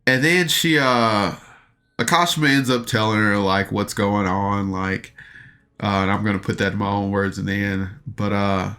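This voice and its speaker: A man's voice: lowish voice, flat delivery, moderate pace, pushed and bright. A man hosting a review podcast, casually recapping an audio drama in an informal, rambling way.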